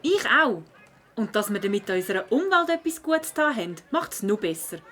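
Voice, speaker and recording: A woman's voice: mid-range voice, normal speed. A woman voicing a curious, feisty schoolgirl cat in a children's audio drama, speaking expressively with a Swiss German accent. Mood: excited. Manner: expressive, inquisitive.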